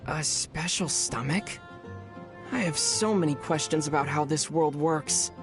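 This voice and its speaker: A man's voice: on the high side, a little slow. A man voicing a young, curious, mild traveler from another world in a video game, musing and understated, with music behind. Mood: puzzled, dry. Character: young male otherworldly traveler, curious, mild, dryly puzzled. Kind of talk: video game character dialogue.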